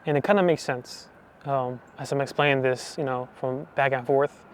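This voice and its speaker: Young man, 20s, testimony speaker; soft, hesitant, monotone delivery over faint noise. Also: medium pitch, moderate pace. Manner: soft, hesitant, full of restarts and fillers. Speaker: channel host giving testimony. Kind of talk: personal faith testimony.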